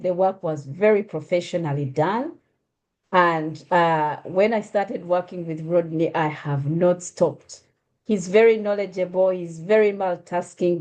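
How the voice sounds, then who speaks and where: A Ugandan woman hosts a conversational interview in a lowish, mellow voice. She speaks with many hesitations and restarts, pausing on fillers as she frames her questions. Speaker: host.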